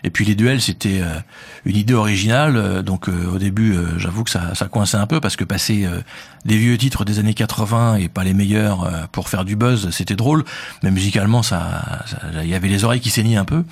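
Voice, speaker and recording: A man with a Parisian accent, a radio host interviewed about his career, talking quickly with many fillers. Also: lowish voice, creaky, slightly rough. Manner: fast, hesitant with many fillers. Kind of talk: conversational interview.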